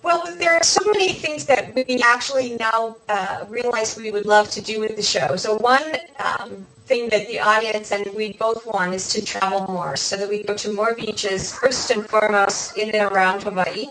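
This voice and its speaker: A woman's voice: mid-range voice, normal speed, few pauses, fairly bright tone, rough recording. A woman talking casually as an interview guest, chatty and conversational, thinking aloud as she goes. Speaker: interview guest, video podcast host.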